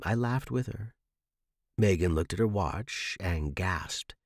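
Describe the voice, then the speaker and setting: A man's voice: sits low, slow pace, dry recording. A man narrating a scripted story for a fiction podcast, recorded close in a dry space.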